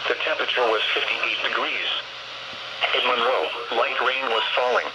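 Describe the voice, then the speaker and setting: A man's voice: medium pitch, steady tone, moderate pace. An American man's synthetic voice reads a scripted weather bulletin formally and impersonally through a radio speaker. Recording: very noisy radio speaker playback.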